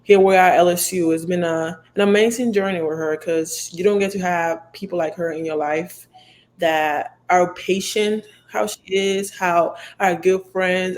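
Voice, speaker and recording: A woman's voice: on the low side, darkish tone. A young woman from the Dominican Republic with a Dominican accent, Spanish her first language, answering interview questions earnestly with many "like" fillers. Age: young adult. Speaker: college basketball player.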